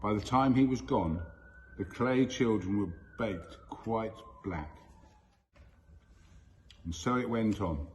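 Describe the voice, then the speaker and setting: A man reading a children's story aloud in a deliberate, expressive way with storyteller pauses. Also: on the low side, a little hiss or hum. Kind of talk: scripted storybook narration.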